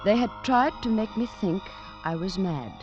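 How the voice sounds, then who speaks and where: A woman's voice: mid-range voice, lively intonation, normal speed, lo-fi sound, lightly acted. An actress playing a distraught, breathless young woman in an old radio drama, anxious and stammering. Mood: haunted narration. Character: distraught young woman searching for her missing mother. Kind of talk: 1940s-50s radio drama acting with first-person narration. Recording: old radio recording with music behind.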